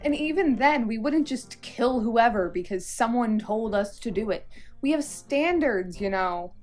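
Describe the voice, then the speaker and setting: A woman's voice: on the high side, lively intonation, a little slow, darkish tone, faint music behind. A woman playing a snarky, money-minded hired assassin in a comic radio play, brash and griping.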